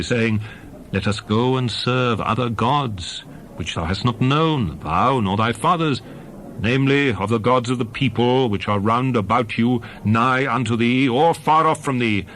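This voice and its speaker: A man narrates scripture in a dramatized, solemn style, declaiming each phrase with emphasis. His mid-pitched voice rises and falls in lively, sweeping inflection. A faint noise sits behind the reading. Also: moderate pace, rough recording.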